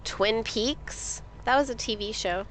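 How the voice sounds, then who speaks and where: A woman's voice: a little high, slow, fairly bright tone, a little hiss or hum, poor audio. A woman streaming a game, sniffly, chatting casually with viewers and reading things aloud. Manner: chatty, reads things aloud, asks chat questions. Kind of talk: casual live-stream commentary.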